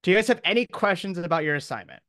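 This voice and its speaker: A man's voice: high-pitched, lively intonation, rapid-fire, pushed and bright, no room sound, studio quality. A man speaking as a college professor, giving an informal, encouraging lecture to his class in casual, slangy language.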